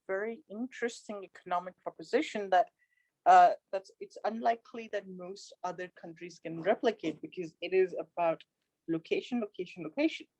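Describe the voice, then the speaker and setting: A woman's voice: mid-range voice, a little mellow, no echo, poor audio. Woman explaining eagerly on a call. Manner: enthusiastic, explanatory, with fillers. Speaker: event participant. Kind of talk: casual virtual panel remarks. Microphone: video call.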